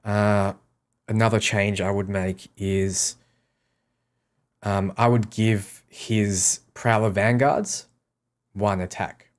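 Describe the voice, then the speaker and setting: Low-pitched man answering livestream questions. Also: little pitch movement, slow, long pauses. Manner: reads questions aloud, answers offhand with short repeated sentences. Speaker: game streamer answering chat questions. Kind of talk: casual livestream Q&A.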